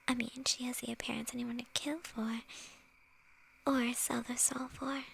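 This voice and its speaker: A woman voicing a sly, flirtatious witch in an ASMR fantasy roleplay, teasing in a soft, breathy whisper. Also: a little high, slight background noise, exaggerated voice, low-quality recording. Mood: teasing, playful. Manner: slow, teasing, with soft chuckles.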